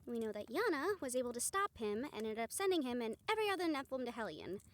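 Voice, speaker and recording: A driven woman who plans for a supernatural team, acted by a woman in an audio roleplay. Her voice sits on the high side, and she talks in a conversational, affectionate way. She is caring and given to teasing. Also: big pitch swings, quick pace. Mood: focused, resolute. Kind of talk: scripted audio roleplay acting.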